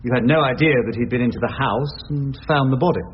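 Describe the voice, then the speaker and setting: An actor playing a shrewd, wry English barrister who narrates and questions with theatrical, articulate delivery in a radio drama. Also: male voice, medium pitch, fast talker, natural voice. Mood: probing. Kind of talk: radio courtroom drama, narration and cross-examination. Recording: dull lo-fi radio recording, noisy.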